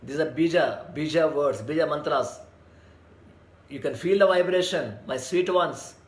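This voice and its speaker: A man leading a guided spiritual meditation, speaking slowly and soothingly and repeating chants and mantras. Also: fairly high pitch. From India. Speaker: meditation leader. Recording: echoey room.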